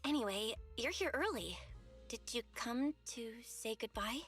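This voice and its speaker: An actress playing a young woman in a fantasy video game, blunt and expressive with her friends. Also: on the high side, unhurried, faint music behind. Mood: earnest concern.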